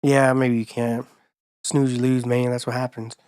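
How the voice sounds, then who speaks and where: A man co-hosting a podcast in casual chat, his voice hoarse from being sick, talking in a laid-back, rambling, slangy way. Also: medium pitch, little pitch movement, moderate pace, a little mellow, no room sound, studio quality. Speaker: podcast co-host.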